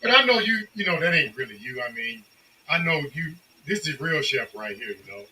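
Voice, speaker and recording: A man chats casually in slangy, enthusiastic speech full of praise. His voice sounds very bright and sharp. Faint noise hums behind him. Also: fairly high pitch, very animated, a little fast, rough recording. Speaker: guest interviewer. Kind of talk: casual chat.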